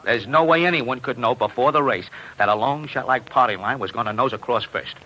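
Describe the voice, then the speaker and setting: A man's voice: medium pitch, brisk, sharp tone, natural voice. A man playing an exasperated, hard-boiled bookmaking boss in an old-time radio drama. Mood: insistent. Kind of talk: 1940s radio drama acting. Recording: faintly noisy old radio recording.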